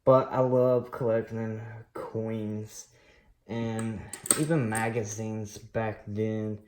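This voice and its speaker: A man in his late thirties rambles through a personal story for his coin collecting vlog. He talks slowly and loosely, with lots of pauses and fillers. His voice echoes around a small room. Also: lowish voice, little pitch movement, a little mellow, a little hiss or hum. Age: late 30s. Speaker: coin collecting channel host. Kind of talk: casual personal storytelling while unboxing.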